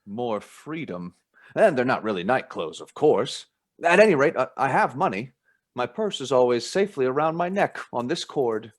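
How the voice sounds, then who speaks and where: A man's voice: medium pitch, very animated, moderate pace. An actor playing a curious, polite, slightly flustered young man in a radio play.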